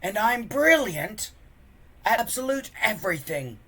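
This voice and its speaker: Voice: medium pitch, big pitch swings, a little slow, fairly bright tone, breathy edge, pitched above the performer's own voice, exaggerated voice. Someone reading a storybook aloud, voicing a smug Viking boy bully who sneers and boasts. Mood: smug, boastful.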